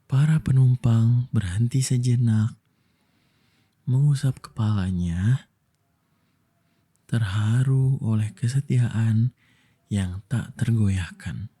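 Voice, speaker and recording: Young male ASMR storyteller. Unhurried, very dark soft tone, soothing bedtime delivery. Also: medium pitch. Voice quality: soft, breathy, husky. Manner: slow, gentle, soothing delivery with long pauses. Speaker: ASMR voice actor telling a bedtime story as a boyfriend.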